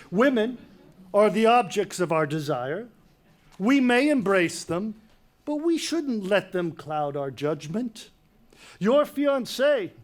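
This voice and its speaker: An actor playing a proud, autocratic middle-aged king and stern father in a declamatory dramatic reading of Greek tragedy. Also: high-pitched. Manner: imperious, declamatory.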